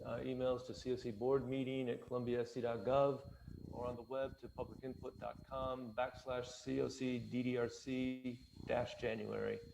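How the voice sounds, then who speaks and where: A man moderating a formal online public meeting as its chair, speaking in a hesitant, halting way. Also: mid-range voice, little pitch movement, creaky, a little mellow, slight background noise, poor audio.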